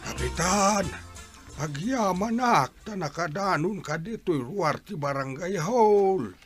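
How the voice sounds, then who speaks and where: A woman's voice: on the low side, animated, takes it slowly, soft background music. A woman playing a hesitant villager who pleads for help, acted for a comedy radio drama. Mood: polite, urgent.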